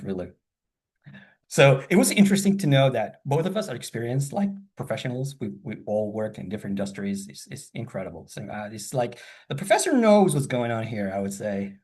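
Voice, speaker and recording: Middle-aged man, mid-pitched, enthusiastic rambler in a casual video-call interview. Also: a little mellow. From born in Japan, grew up in several countries across Asia and the Middle East. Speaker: student and hospitality professional.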